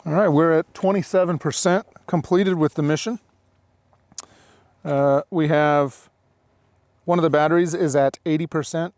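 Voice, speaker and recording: Higher-voiced man, casual outdoor vlogger. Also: steady tone, normal speed, long pauses, a little mellow, no echo. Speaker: drone pilot and video host. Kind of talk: casual running commentary to camera while demonstrating gear. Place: outdoors in open dry hills.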